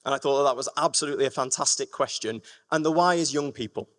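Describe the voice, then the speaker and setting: A man's voice: medium pitch, fast talker, fairly bright tone. A man with a Lancashire accent giving an upbeat, enthusiastic talk at a conference. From England. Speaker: conference speaker. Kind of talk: public talk. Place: conference room. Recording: reverberant hall.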